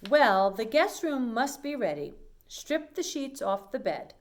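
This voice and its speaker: Lady of the house, read by a woman: storybook, busy, courteous, briskly handing out instructions. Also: a little high, very animated, background noise. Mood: instructing. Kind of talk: children's storybook character reading.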